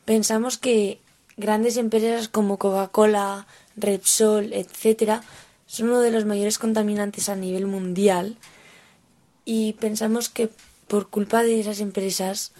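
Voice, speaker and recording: A teenage girl from gives her views as a young activist. Her voice is mid-pitched and mellow, and her delivery stays quite level and informal. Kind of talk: informal spoken opinion piece.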